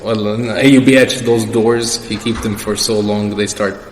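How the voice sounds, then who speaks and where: A man's voice: fairly low pitch, steady tone, moderate pace. A young man answering questions as the guest in a casual personal interview. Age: young adult. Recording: echoey reverberant room with background noise.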